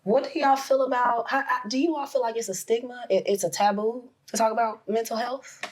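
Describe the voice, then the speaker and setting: A hoarse woman hosting a casual roundtable talk, reflective and searching for words. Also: fairly high pitch, steady tone, fairly quick, poor audio. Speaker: podcast host.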